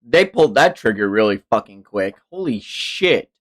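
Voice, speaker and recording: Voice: medium pitch, very animated, moderate pace. A streamer doing a live manga read-along, reacting in an unguarded, sweary way. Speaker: streamer reading manga aloud.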